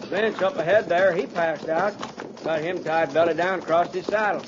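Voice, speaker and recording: A man playing a rough, folksy, steady cavalry trooper in a 1950s radio drama. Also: fairly high pitch, normal speed, close to an everyday voice. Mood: grim, concerned. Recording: muffled, noisy 1950s radio recording.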